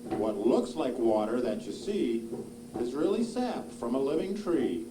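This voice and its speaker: A man's voice: mid-range voice, big pitch swings, a little slow. A man voicing a wise, kindly old grandfather maple tree in a children's puppet show, explaining genially. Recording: distant, echoing room with loud crowd noise.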